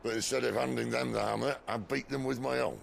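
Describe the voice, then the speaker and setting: An older actor with a Northern English accent playing a gruff, blunt, fatherly mentor in a cinematic game. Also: mid-range voice, fairly even intonation, quick pace, slight background noise. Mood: brisk, blunt. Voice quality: gravelly. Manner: blunt, colloquial. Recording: music behind the voice. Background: music.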